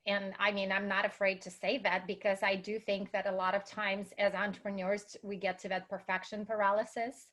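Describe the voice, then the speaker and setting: A woman's voice: medium pitch, a bit bright. Motivational woman with a Russian accent. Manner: enthusiastic, motivational speaker tone. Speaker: guest, entrepreneur. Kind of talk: interview conversation.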